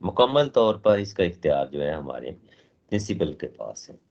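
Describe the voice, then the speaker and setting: A man giving a training lecture with a sore throat and a cough, explaining in a measured way with many pauses and English phrases mixed in. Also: low voice, very animated, a little mellow, muffled recording. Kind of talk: slow recorded lecture.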